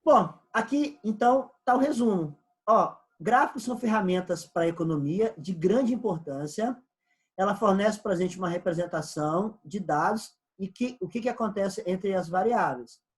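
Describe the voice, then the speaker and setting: A man's voice: high-pitched, animated, normal speed. A man with a Brazilian Portuguese accent teaching an economics lesson, explaining step by step and repeating key points. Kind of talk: recorded online class lecture.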